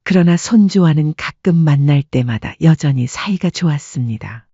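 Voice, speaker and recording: Brief: husky, mellow woman storyteller, calm delivery, dull lo-fi recording. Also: low voice, moderate pace, no echo. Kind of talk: scripted audiobook narration.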